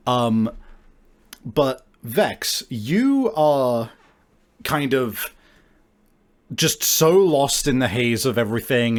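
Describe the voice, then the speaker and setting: A man running a tabletop game as game master, narrating casually and searching for his words. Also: mid-range voice.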